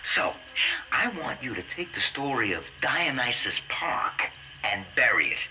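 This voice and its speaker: A cowardly, scheming video game weasel. His voice is sharp and forceful, swinging widely in pitch. He wheedles and slips around his words over a faintly hissy radio link. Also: big pitch swings, measured pace, full character voice, low-quality recording. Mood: sly, smug. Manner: wheedling, stammering, slippery. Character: shifty, scheming, cowardly male security-booth weasel.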